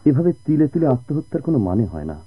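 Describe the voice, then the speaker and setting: A man with a Bangladeshi accent playing a kind, patient, devoted family friend in a radio drama, speaking earnestly in formal, measured diction. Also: fairly high pitch, sing-song, breathy edge. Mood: grave, urging. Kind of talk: Bengali radio drama acting. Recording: muffled old radio drama recording.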